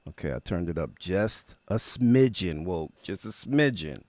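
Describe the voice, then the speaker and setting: Animated man hosting a casual livestream. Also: sits low, unhurried, dry recording. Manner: slangy, informal, addresses viewers by name. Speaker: livestream host of music reaction show. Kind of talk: casual livestream chat greeting viewers.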